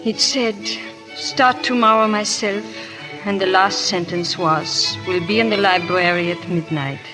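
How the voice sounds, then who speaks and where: A woman's voice: medium pitch, a little slow, lightly acted. An actress in a 1930s radio drama playing an anxious, formal noble lady who is being coerced into spying. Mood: uneasy, subdued. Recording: old 1930s radio recording with music.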